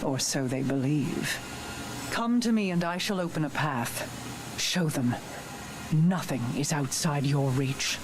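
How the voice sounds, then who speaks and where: An actress voicing a regal, commanding sorceress queen in a video game cutscene, speaking in a grand, measured, ceremonial way. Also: low-pitched, background noise.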